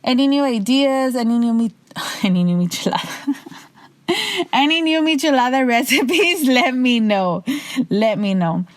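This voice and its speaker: A young woman with a raspy voice hosting her own podcast, talking loosely and casually, swearing freely, a little tipsy. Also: sits high, sing-song, unhurried, pauses often, dry recording. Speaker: podcast host. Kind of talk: casual solo monologue.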